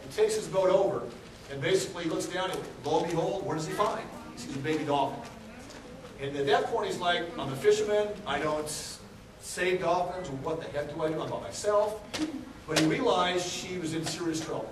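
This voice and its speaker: A high-voiced man talks casually to a live audience, cracking jokes and asking the crowd questions. He is from Texas. The recording has an echoey hall sound, with the mic set well back from him and steady background noise. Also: a little fast, far from the mic. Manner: joking, chatty, asks the audience questions. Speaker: aquarium CEO giving a talk. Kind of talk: casual public talk to a crowd. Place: large indoor room with an audience.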